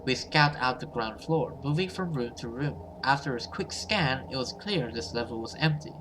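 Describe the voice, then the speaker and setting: A man's voice: medium pitch, expressive, normal speed, background noise. A man reading a horror story aloud as a gamer, narrating and voicing dialogue, sometimes stumbling and rereading. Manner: stumbles over words and rereads.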